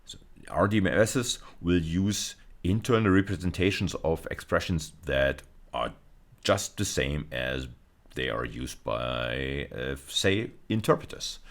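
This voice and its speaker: A man giving a technical video lecture, explaining step by step in a halting way with frequent self-corrections. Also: low-pitched. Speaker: university lecturer.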